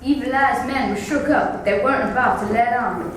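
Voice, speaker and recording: A woman's voice: fairly high pitch, brisk. A young girl reading scripted story narration as a student performer. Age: child. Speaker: student reader. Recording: very echoey room, distant mic, faint noise.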